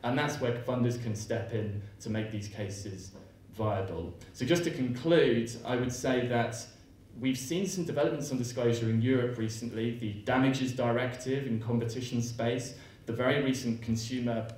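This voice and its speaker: A man giving a fluent, measured talk on a conference panel. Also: medium pitch, a little mellow, slight background noise. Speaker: panel speaker on law. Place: lecture hall. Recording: echoey hall, distant mic.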